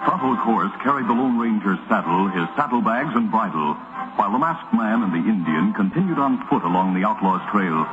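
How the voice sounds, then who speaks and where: A man's voice: fairly low pitch, animated, a little fast, music in the background. An American man narrating an old radio western in a dramatic, solemn 1940s storytelling style. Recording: muffled vintage radio recording.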